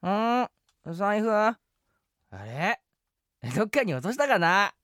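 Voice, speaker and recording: A woman's voice: mid-range voice, very animated, slow, fairly bright tone, no room sound, studio quality. A woman playing a carefree, irresponsible young male samurai in a comedic audio drama, speaking in casual, boyish slang. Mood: tipsy, oblivious.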